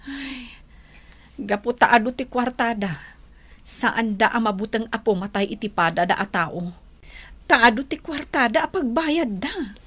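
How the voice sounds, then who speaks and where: An actress playing a poor, fretful village wife, plaintive and worried, in an Ilocano radio drama. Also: female voice, on the high side, lively intonation, measured pace, pauses often, a bit bright. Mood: worried, complaining.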